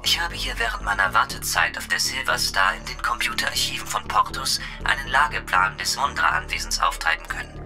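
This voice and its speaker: An actor playing a loyal, precise combat robot in a retro sci-fi radio drama, formally naming each emotion before speaking. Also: male voice, mid-range voice, very animated, a little fast, vocal fry, hardly any pauses, over music. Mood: formal, helpful. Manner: formal, precise, labels own emotions aloud.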